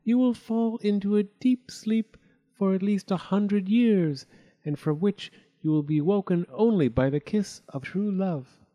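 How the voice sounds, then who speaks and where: Figure: a man playing a shy young fairy. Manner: soft, earnest. Pitch: high. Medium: storybook. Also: measured pace. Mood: solemn.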